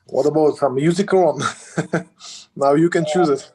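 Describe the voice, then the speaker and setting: A man's voice: on the high side, unhurried, dark tone, husky. A man on a video call chatting casually and joking, speaking in slightly broken English. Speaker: meetup participant.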